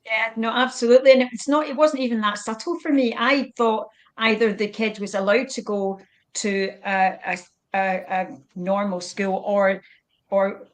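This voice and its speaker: A woman speaking as a panellist in a discussion, earnest and emphatic in how she makes her points. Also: medium pitch.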